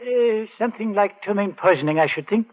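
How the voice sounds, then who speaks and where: An actor playing a gloomy, apologetic family doctor, hesitant yet reassuring, in a 1940s radio drama. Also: male voice, sits high, a little fast, natural voice. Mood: apologetic, puzzled. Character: long-faced, stooped, apologetic male family doctor. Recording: thin, tinny 1940s radio recording.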